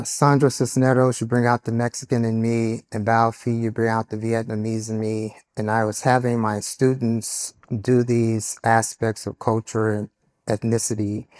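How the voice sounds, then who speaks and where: Slow, deliberate male poet reading aloud. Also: medium pitch. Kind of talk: poetry reading. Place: literary conference panel.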